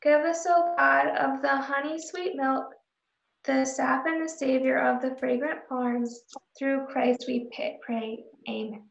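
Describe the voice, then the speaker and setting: A woman playing a solemn, pious young daughter in a radio drama, reciting earnestly in a measured way. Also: sits high, fairly even intonation, creaky. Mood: reverent. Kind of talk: radio drama read-through. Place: home room, remote video call. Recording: dull, roomy video call.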